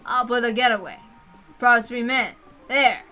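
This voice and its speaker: A menacing gunman gives orders in rough, folksy speech as a woman acts him out. The voice is forceful and loud with a hard bright edge, in the manner of a dramatic story reading. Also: a little high, brisk, faint music behind. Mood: gruff reassurance.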